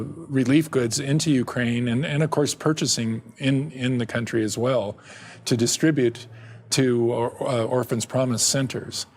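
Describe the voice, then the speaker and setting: Soft-spoken older man, halting studio guest. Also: medium pitch. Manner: halting, with many restarts and fillers. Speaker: interview guest, ministry director. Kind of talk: candid interview conversation. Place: television studio set. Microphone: lapel mic.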